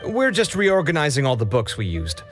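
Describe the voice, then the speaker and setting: A man playing an expressive, eager and friendly young scholar in a video game. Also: a little high, moderate pace. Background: faint music.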